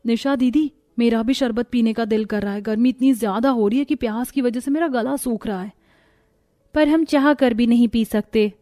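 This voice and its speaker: A woman playing a young cartoon daughter-in-law, meek, worried, dutiful, slightly high pitch, dry studio-like sound. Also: normal speed, warm tone, no echo, natural voice. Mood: wistful. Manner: polite, pleading. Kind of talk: Hindi cartoon moral-story dialogue.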